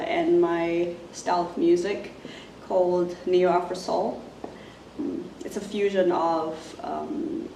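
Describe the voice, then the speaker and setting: Soft, halting woman, flat mellow voice. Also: on the low side. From Zimbabwe. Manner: soft-spoken, halting, many fillers. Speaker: musician. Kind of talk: casual self-introduction to camera. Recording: roomy, distant mic, faint noise.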